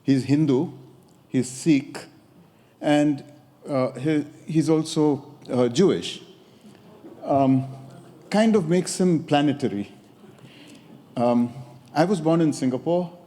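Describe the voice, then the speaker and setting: Mellow Indian-accented older man, echoey hall. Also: fairly high pitch. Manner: measured, pausing with occasional hesitations. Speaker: design academic giving a talk. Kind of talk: conference talk. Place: lecture hall at a podium. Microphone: podium microphone.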